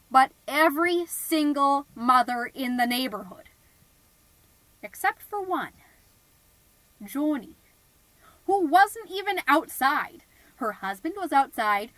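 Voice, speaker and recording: High-pitched, melodramatic suburban mom played by a woman. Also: fairly bright tone, dry recording, poor audio. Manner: theatrical, pompous, indignant. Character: melodramatic suburban mom, self-important party planner, easily exasperated. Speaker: podcast host character narrating. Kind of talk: comedic scripted monologue, storytelling.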